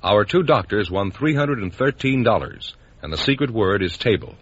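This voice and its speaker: A man's voice: on the low side, expressive, normal speed, husky. A man announcing a 1950s radio show in a presentational style. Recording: dull, muffled old radio recording.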